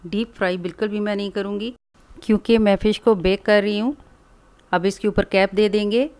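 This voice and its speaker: A woman from Pakistan hosting a cooking channel, calmly explaining each step of a recipe in a voice-over. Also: medium pitch, normal speed. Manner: calm, instructive, explains each step plainly.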